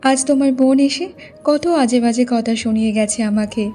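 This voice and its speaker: A woman's voice: on the high side, moderate pace, warm tone, soft background music, lightly acted. A woman playing a meek, sorrowful village wife in a cartoon, speaking in a plaintive, earnest way. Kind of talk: Bengali moral cartoon voice acting.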